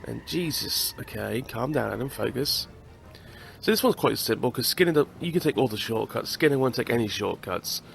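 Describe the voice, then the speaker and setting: A young British man narrates his gameplay in a loose, chatty way, with a stuffy nose from a cold. His voice swings up and down a lot and has a gravelly edge, and he drops the odd swear word. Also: medium pitch, animated, moderate pace, faint music behind. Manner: chatty, swears, laughs at the game. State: congested with a cold. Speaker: gaming channel host. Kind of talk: casual let's-play commentary.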